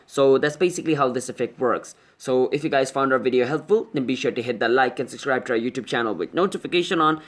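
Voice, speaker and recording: A man's voice: mid-range voice, fast talker, few pauses. A man narrating a casual how-to tutorial as its host, in a chatty way.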